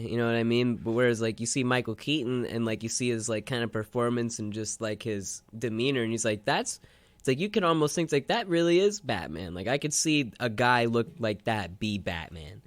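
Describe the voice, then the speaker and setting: A man's voice: mid-range voice, moderate pace, no echo. A man co-hosting a casual chat show, talking in a loose, rambling, slangy way. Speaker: podcast co-host.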